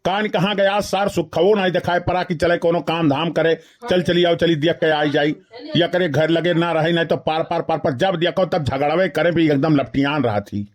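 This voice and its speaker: A man's voice: on the high side, steady tone, moderate pace, close to an everyday voice. A man voicing an exasperated, skinny henpecked village husband in a comedy cartoon, grumbling repetitively with an Awadhi accent. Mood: fed up. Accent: Awadhi, eastern Uttar Pradesh. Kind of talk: rustic comedy cartoon dialogue.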